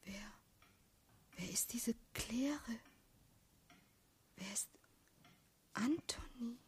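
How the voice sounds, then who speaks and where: A woman playing a young, flustered village postal assistant in a radio drama, halting and murmuring to herself. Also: a little high, a little hiss or hum. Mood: puzzled, excited.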